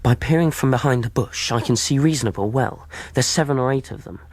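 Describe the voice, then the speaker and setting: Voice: mid-range voice, a little fast, a little mellow, lightly acted. An actor playing a brave, eager boy at a seaside inn in a radio drama. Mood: hushed narration. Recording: noisy radio drama with clicks.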